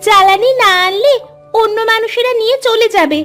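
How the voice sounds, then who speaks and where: A woman voicing a rude, stingy young village girl in a cartoon, with sharp, scolding back-talk over music. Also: very high-pitched, brisk, pitched above the performer's own voice. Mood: snappish, defiant. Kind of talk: cartoon character acting.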